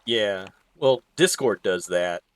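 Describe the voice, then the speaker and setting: A man chatting on a gaming stream, hesitant and halting, with many pauses and uh's. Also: on the low side, lively intonation, clean tone, no room sound. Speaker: streamer co-host. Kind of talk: casual gaming stream chat.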